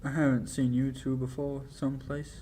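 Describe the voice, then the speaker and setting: A young male amateur actor playing a nervous, evasive old clock repairman in a halting, polite manner, in 1940s radio play style. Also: mid-range voice, level tone, dark tone, natural voice. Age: young adult. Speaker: amateur high school actor.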